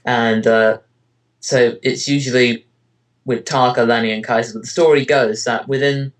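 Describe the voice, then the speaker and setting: A man's voice: mid-range voice, steady tone, normal speed. Rambling man in a casual interview. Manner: hesitant, rambling delivery with frequent "you know" and "kind of". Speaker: interviewee, amateur voice actor and web parody creator.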